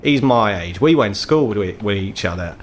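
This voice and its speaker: A man plays a gruff, ageing troll who works as an underworld fixer in a tabletop game. He is British, blunt and foul-mouthed, and speaks like someone bitter and tired of living in the gutter. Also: fairly low pitch, lively intonation, moderate pace, slight background noise, voice pushed down, close to an everyday voice. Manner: blunt, sweary, world-weary.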